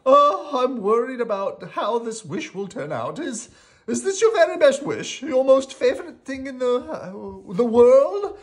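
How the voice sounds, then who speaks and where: Woman putting on a squeaky, anxious little storybook creature. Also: huge pitch swings, measured pace, darkish tone. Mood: anxious, meek. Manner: nervous, hesitant, repeating herself. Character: timid, anxious, meek female wish-granting creature, small and squeaky. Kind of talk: acted children's storybook reading.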